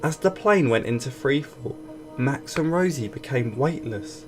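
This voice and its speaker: Slow-paced man reading a children's story over music. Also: medium pitch, lively intonation. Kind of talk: read-aloud scripted story narration, expressive. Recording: music bed behind voice. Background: background music.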